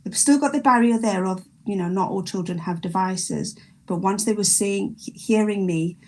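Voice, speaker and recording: A woman with a South African accent, a primary school teacher talking in an online panel discussion, chatty and animated with many restarts. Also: mid-range voice, moderate pace.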